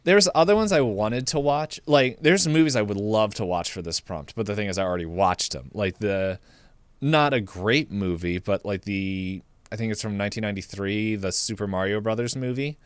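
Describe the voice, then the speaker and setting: A man's voice: on the low side, normal speed, smooth, no room sound. A man co-hosting a podcast, chatting casually about movies and retelling things in a jokey way with laughter in his speech. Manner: jokey, laughs through his own telling. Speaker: podcast co-host.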